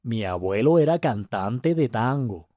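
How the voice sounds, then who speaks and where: A man's voice: mid-range voice, expressive, a little slow, warm tone, dry recording, studio quality, natural voice. A man voicing a polite, thoughtful male museum visitor in a storybook reading. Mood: polite, friendly.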